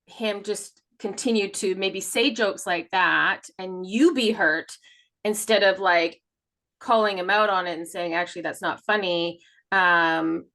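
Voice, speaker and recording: Bright-voiced woman chatting candidly. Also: medium pitch. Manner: talkative, animated retelling with acted-out dialogue; candid, swears freely. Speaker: podcast co-host. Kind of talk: casual confessional chat.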